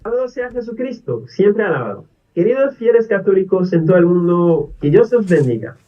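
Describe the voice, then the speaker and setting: Earnest non-native priest pleading from afar. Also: male voice, high voice, big pitch swings, a little fast. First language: not Spanish. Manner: earnest pleading, repeated questions. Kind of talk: video appeal to the faithful. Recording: distant dull lo-fi video audio.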